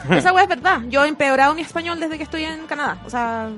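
Una mujer joven con acento chileno, invitada en una charla casual, habla con soltura y se ríe con facilidad. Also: female voice, high-pitched, animated, fast talker, fairly bright tone, faint music behind. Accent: Chilean Spanish. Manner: chatty, laughs easily. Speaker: guest. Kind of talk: casual chat.

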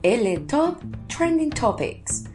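Woman presenter, scripted intro, animated read over guitar music. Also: fairly high pitch, very slow. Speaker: segment presenter.

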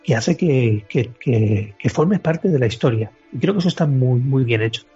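Male co-host, Spain accent, very husky, informal and sweary, slightly dull recording. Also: medium pitch, animated, normal speed, dark tone. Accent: Spanish (Spain). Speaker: podcast co-host. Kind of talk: casual video game chat. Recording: muffled lo-fi podcast, music underneath.

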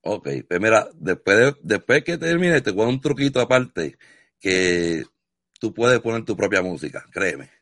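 Man, Puerto Rican accent, very low voice, enthusiastic interviewer, effusive, dry recording. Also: animated, normal speed, a bit bright, dry recording. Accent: Puerto Rican Spanish. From Puerto Rico. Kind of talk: casual video interview.

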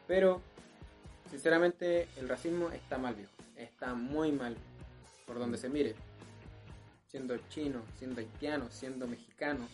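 A young man with a Chilean accent hosting a casual podcast chat over beers, slangy and breaking into loud, emphatic rants. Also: medium pitch. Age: young adult. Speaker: podcast host.

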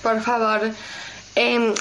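A woman's voice: a little high, completely flat delivery, drawn-out words, noisy background. A young woman co-hosting a radio show, chatting casually in a slangy, rambling, jokey way. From Argentina.

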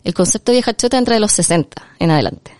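A woman's voice: mid-range voice, expressive, rapid-fire, darkish tone, dry recording. A woman in her thirties with a Chilean accent, hosting a casual chat in an enthusiastic, reflective way. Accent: Chilean Spanish. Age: 30s. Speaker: host.

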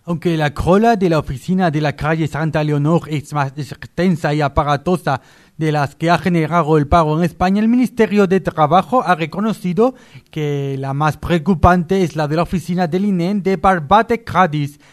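A man's voice: a little high, moderate pace, lightly acted. A man playing a French male co-newsreader reading mock news in a radio comedy sketch. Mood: mock serious.

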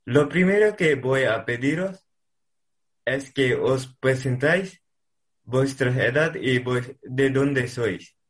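Male reporter, average pitch, unhurried interview questions. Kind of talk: interviewing guests.

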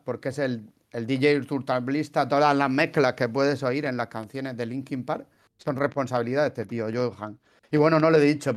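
Joking Spanish man hosting a music podcast. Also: medium pitch. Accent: Spain. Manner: jokey, chatty storyteller, repeats his puns. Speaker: podcast host. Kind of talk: casual podcast chat about a band's history.

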